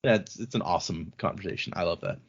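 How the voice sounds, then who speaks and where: A man's voice: deep voice, sing-song, fast talker, gravelly, slightly rough, lo-fi sound. A man hosting a podcast, retelling a fantasy novel in casual, colloquial language with wry asides. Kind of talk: casual chat, informal book recap.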